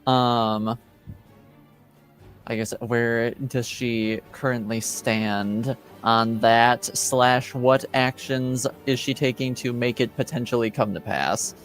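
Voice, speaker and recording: A man acting as game master, casually moderating a tabletop roleplaying game in hesitant, pausing phrases. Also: mid-range voice, steady tone, soft background music.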